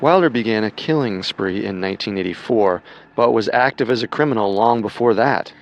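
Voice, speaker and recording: Low, gravelly male narrator. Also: brisk, lo-fi sound. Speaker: podcast host and narrator. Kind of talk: scripted true crime narration.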